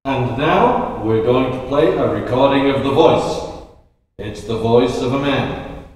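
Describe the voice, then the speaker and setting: A man acting as a formal, deliberate radio announcer in 1940s style. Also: medium pitch, a little mellow, lightly acted. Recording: distant, echoey hall with faint noise.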